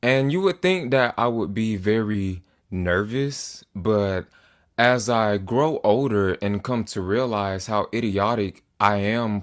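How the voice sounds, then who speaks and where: Earnest man in his twenties, slightly low. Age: 20s. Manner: earnest, deliberate, with small restarts. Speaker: political commentator. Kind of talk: earnest to-camera monologue.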